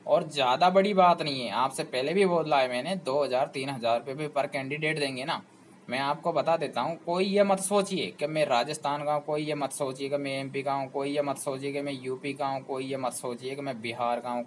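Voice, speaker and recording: A man of ordinary pitch talks students through a topic, persuading and pressing them with rhetorical questions. Faint background noise hangs under his voice. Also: low-quality recording. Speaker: job information channel host. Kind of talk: explanatory talk to students.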